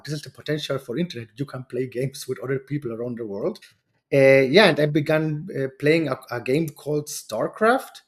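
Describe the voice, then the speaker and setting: Man with halting English. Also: medium pitch. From Chile and Germany. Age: 40s. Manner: non-native English, halting restarts, chuckles often. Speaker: guest, venture capitalist. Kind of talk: casual interview talk.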